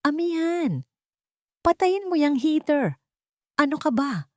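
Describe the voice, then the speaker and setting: A harsh older mother-in-law, acted out by a female storyteller, pitched up from narration. She nags and scolds with emphatic complaint, counting every cost, in a dry recording. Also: sing-song, slow, dry recording, heavily acted. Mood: angry scolding.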